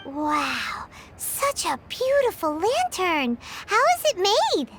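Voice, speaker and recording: A woman's voice: very high voice, wildly swooping pitch, slow, noisy background, full character voice. A woman voicing a tiny childlike fairy companion, bubbly and eager, in a video game. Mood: curious, impressed. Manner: refers to herself in third person, exclaiming.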